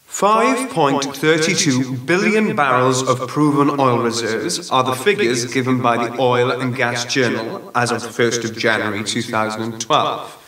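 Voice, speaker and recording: A man's voice: medium pitch, unhurried, fairly bright tone, natural voice. Echoey and a little away from the mic, an actor plays a male factual presenter in a radio drama. He narrates soberly and formally, with a matter-of-fact delivery. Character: sober male presenter reading economic facts. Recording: roomy, distant from the mic.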